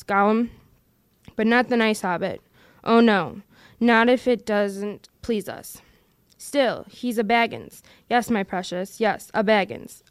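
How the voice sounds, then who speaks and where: A woman's voice: medium pitch, clean tone, no echo, studio quality, lightly acted. A woman voicing a wretched, split-minded male creature who wheedles and argues with himself in an audiobook reading. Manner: wheedling, hissing self-argument.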